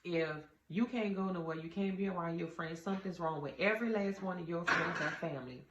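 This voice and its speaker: A woman with a deep voice gives casual, straight-talking relationship advice to her viewers, calling them y'all with earnest conviction. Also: brisk. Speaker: vlogger giving relationship advice. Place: indoor room with a door. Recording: roomy, slightly dull, faint ambient hum.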